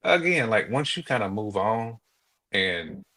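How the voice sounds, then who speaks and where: A man's voice: lowish voice, sing-song, unhurried, bright, forceful tone, poor audio. A man in a casual, opinionated chat, speaking colloquially and emphatically and repeating his points.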